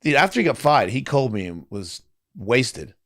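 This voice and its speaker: A man's voice: medium pitch, sing-song, normal speed, a bit bright, no echo, studio quality. A man hosting a talk show, chatting candidly in a blunt, profane way and acting out the exchanges he recalls. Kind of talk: candid casual chat, anecdotes.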